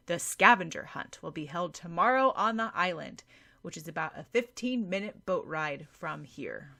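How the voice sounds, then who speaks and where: Woman putting on an emphatic, loud contest MC. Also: medium pitch. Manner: emphatic, drawn-out announcer delivery. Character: upbeat male contest MC, loudly announcing. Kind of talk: contest announcement in an audiobook.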